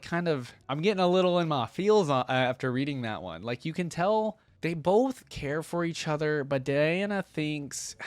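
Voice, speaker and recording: Male reaction host, lower-pitched voice, informal delivery, very dry close sound. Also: no room sound. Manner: informal slang, exclaiming between readings. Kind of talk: casual reaction commentary, reading text aloud.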